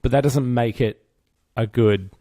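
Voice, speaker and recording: A man's voice: mid-range voice, unhurried, mellow, no echo, studio quality. A man co-hosting a podcast, chatting informally in an opinionated, geeky, self-mocking way. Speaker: podcast co-host. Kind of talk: casual pop-culture chat.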